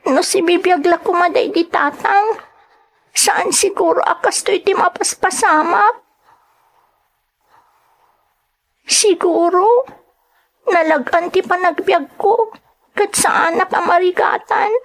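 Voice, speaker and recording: A woman's voice: extremely high pitch, measured pace, vocal fry, full character voice. A woman playing a poor, hurt but determined young schoolgirl in an Ilocano drama, with a Filipino Ilocano accent and a plaintive, pleading delivery. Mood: sorrowful. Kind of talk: Ilocano radio-style drama acting.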